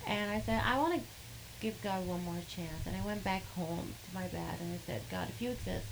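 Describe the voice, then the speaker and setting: A woman with an Arabic accent who speaks haltingly, with many fillers, and laughs between sentences as she tells a personal story. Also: on the low side, creaky, slight background noise, rough recording. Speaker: guest sharing a testimony.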